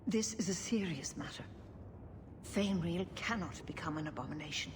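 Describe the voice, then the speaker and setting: Elven keeper for a fantasy game, female actor: low, measured, solemn teacher. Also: expressive, close to an everyday voice. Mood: urgent, stern. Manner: formal, measured, grave. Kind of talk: video game voice acting. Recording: noisy game ambience behind.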